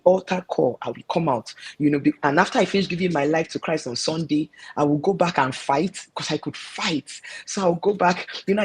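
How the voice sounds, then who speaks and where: Nigerian woman guest, husky voice, earnest reflective storytelling. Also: deep voice. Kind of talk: personal testimony in an interview.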